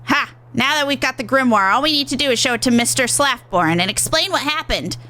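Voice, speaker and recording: A woman's voice: high voice, lively intonation, a little fast, pushed and bright. A woman voicing an eager, plucky youngster in a game.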